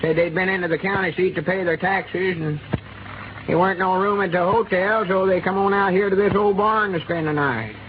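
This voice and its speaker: A man voicing a steady old Arkansas backwoods fellow who drawls his words and keeps everyone calm. His voice sits high, and it comes through a tinny 1930s radio transcription with hiss behind it. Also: normal speed, close to an everyday voice. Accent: rural Arkansas. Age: older. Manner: drawling backwoods dialect, reassuring. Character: old country storekeeper man, folksy and steady. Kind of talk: 1930s radio comedy acting.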